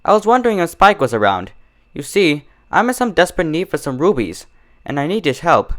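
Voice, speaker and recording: Man putting on a high, genteel lady pony. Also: big pitch swings, brisk. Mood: polite. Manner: genteel, theatrical, high-pitched. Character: refined, dramatic lady pony, genteel and concerned.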